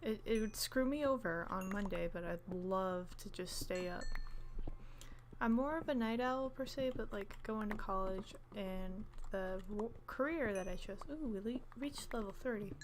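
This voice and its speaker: A woman's voice: mid-range voice, normal speed. A young woman streaming a game, chatting casually and rambling, often dropping into whispered asides. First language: English. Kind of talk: casual gameplay chat.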